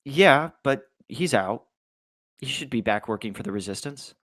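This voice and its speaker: Young performer as anguished freshman boy, earnest, pleading, stubborn, medium pitch, script table read. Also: animated, normal speed, fairly bright tone. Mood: hopeful.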